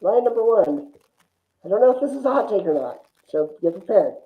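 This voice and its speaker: A woman's voice: mid-range voice, sing-song, fairly quick. A woman talking casually as a film podcast panellist, over a roomy, phone-like connection. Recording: roomy, phone-like narrow sound.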